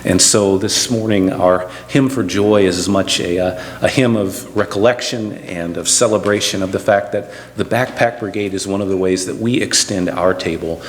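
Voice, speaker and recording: Male pastor, lowish voice, measured church address with halting phrases, echoey hall. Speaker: pastor leading the service. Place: large church room.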